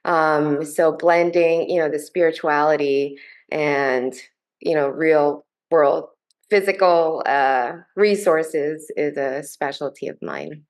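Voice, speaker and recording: Female panel guest, low voice, slow deliberate delivery. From grew up in New York City. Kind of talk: casual self-introduction.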